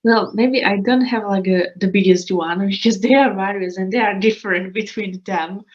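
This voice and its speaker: A woman's voice: mid-range voice, moderate pace, slight background noise. Woman guest on a video call, lots of restarts and little laughs, bit dull lo-fi sound. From Slovakia. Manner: hesitant, many restarts and fillers, laughs often. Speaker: guest, expat coach. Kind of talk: casual interview answers. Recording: slightly dull lo-fi call audio.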